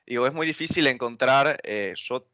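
A man's voice: medium pitch, normal speed, bright, forceful tone, dry recording. A young man with a Buenos Aires Argentine accent, giving earnest interview answers in a hesitant, thinking-aloud way. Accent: Buenos Aires Argentine Spanish. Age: young adult. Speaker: interviewee, student activist. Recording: dull lo-fi audio.

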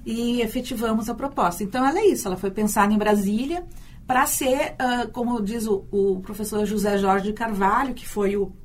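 A woman's voice: fairly high pitch, brisk, a little hiss or hum. A Brazilian woman, a university teacher and storyteller, answering in a radio interview, thoughtful and often repeating words as she thinks.

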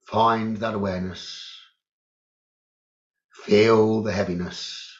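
A man leading a guided meditation as a teacher, giving calm, deliberate instructions in short phrases with long pauses. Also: fairly low pitch. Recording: dull, lo-fi sound.

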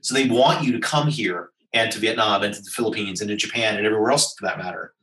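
A man's voice: lowish voice, quick pace, bright, forceful tone, distant mic. An American man giving persuasive business advice in an interview, speaking emphatically in rhetorical lists. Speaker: business executive guest. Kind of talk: interview answer, advisory. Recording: roomy sound, voice from off-mic.

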